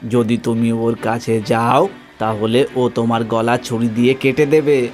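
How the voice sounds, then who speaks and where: A man's voice: medium pitch, flat delivery, a little slow, darkish tone, faint music behind, close to an everyday voice. A man voicing a grave villager who explains a grim legend solemnly in a cartoon ghost story. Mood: grave, warning. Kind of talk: animated ghost story dialogue.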